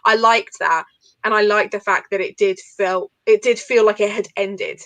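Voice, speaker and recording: A woman's voice: medium pitch, normal speed, fairly bright tone, dry recording. A woman in a casual book discussion, talking informally and analytically as she thinks aloud. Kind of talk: casual live book chat.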